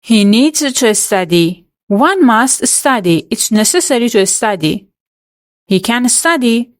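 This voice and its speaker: Slow, measured woman reading a French quiz. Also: on the high side, expressive. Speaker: French teacher. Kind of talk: scripted quiz narration.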